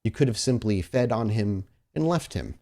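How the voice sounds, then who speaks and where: A man with a low voice runs a tabletop roleplaying game. He mixes improvised, descriptive scene-setting with casual table talk, and he addresses his player directly in a conversational way. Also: animated, moderate pace, mellow.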